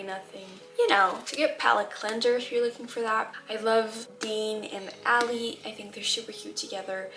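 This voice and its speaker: A woman's voice: medium pitch, fairly bright tone, echoey room. A young woman who is not a native English speaker, chatting candidly to camera as a book vlogger, with many fillers. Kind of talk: casual chat to camera. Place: room at home.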